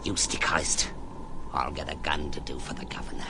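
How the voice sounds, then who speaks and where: An actor playing a rough, devoted and murderous henchman in an audio drama, with slangy, gleeful muttering and exclaiming. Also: extremely low pitch, steady tone, normal speed, whispered, heavily acted. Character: excitable rough male knife-carrying henchman, devoted and murderous. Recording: loud background noise.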